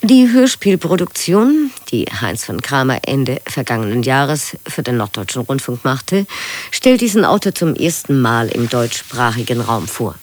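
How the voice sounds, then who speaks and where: A radio presenter reading a scripted introduction in a measured, informative style. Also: medium pitch, sing-song.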